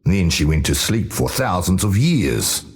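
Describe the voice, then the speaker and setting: A man's voice: low-pitched, sing-song, measured pace, darkish tone, exaggerated voice. A man playing a bitter, restless dead tribesman in a fantasy game, sardonic and agitated.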